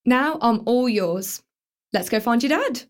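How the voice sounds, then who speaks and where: A woman's voice: on the high side, lively intonation, unhurried. A woman with a British accent playing a brash, easily distracted teenage girl in a naturalistic radio play, blunt and colloquial. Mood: breezy.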